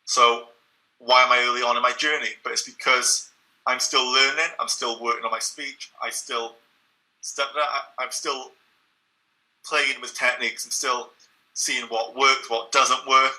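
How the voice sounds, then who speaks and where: Earnest young Englishman, bright voice. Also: mid-range voice, a little slow, poor audio. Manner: steady, earnest, with occasional repeated words and restarts. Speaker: interview guest. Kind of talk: reflective conversational interview.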